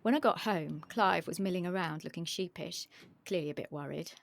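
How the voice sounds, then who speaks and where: A woman's voice: on the low side, fairly quick, creaky, slight background noise. An actress playing an anxious, frank young woman who narrates her own story in an intimate, wry, confessional way and acts out her dialogue. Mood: subdued narration. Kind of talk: confessional first-person narration with acted dialogue.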